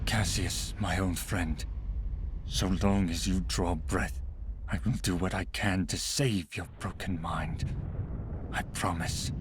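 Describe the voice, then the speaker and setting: An actor playing a commanding older general, a self-assured villain in a video game, speaking grandly and formally. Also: male voice, low-pitched, fairly even intonation, slow pace, background noise. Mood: solemn. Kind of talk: dramatic video game acting.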